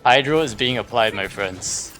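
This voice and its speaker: A man's voice: mid-range voice, moderate pace, pushed and bright, faint music behind, poor audio. A man giving casual live gameplay commentary, thinking aloud as he repeats and questions himself. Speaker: game livestreamer.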